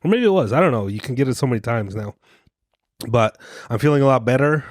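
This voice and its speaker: A man's voice: medium pitch, expressive, quick pace, dry recording, studio quality. A man co-hosting a podcast, rambling in a joking, self-mocking way, a little congested from a cold. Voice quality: soothing voice. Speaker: podcast co-host. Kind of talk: casual rambling monologue.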